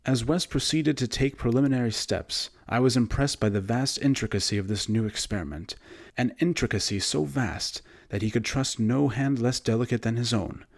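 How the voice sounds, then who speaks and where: A man narrating a horror story as an audiobook reader, in a measured, formal literary manner. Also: mid-range voice, vocal fry.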